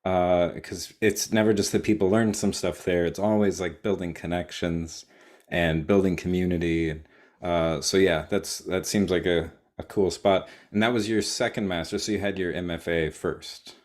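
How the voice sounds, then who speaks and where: A man with a very deep voice hosts an interview in an easygoing way. He keeps his guest going with short nods of agreement and loose, thinking-aloud questions. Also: moderate pace. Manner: relaxed, encouraging, frequent short acknowledgements. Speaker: podcast host interviewer. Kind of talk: casual interview talk.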